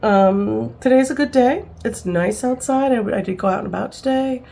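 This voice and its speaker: A woman's voice: on the high side, normal speed, gravelly, warm tone. A woman in her fifties, rambling and chatty, talking casually to camera at home, with frequent laughs and fillers. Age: 50s. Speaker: vlogger.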